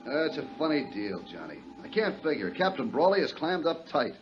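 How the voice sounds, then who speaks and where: An American actor playing a plain-spoken, puzzled but sympathetic harbor police inspector in a 1950s radio drama. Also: male voice, fairly high pitch, very animated, normal speed, soft background music. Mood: baffled. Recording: muffled 1950s radio recording.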